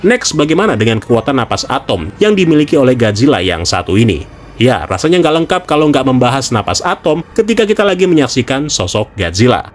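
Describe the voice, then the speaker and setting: A man's voice: mid-range voice, big pitch swings, quick pace. A man narrates a semi-casual scripted explainer, speaking directly to viewers in a conversational way. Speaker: video narrator. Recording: music behind the voice. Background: background music.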